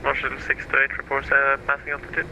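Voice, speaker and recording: A man's voice: mid-range voice, completely flat delivery, fast talker, sharp tone. A man working as an air traffic controller, giving clipped, formal procedural instructions over aviation radio. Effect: aviation radio transmission. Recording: muffled, noisy aviation radio channel.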